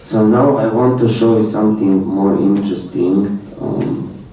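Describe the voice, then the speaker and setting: A man's voice: fairly low pitch, steady tone, unhurried, warm tone. A young man whose first language is Romanian gives an informal slide presentation in plain, repetitive English. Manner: plain speech in non-native English with many repetitions, light laughs. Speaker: foreign student presenter. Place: university lecture room. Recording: echoey lecture room, mic at a distance.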